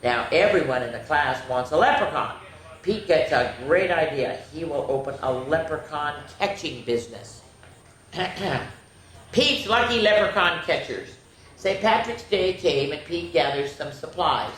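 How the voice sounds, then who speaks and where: A woman's voice: low-pitched, lively intonation, a little slow. A woman hosting children's story time, reading picture books expressively and playfully, with chatty asides to young listeners. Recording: very echoey room with faint noise.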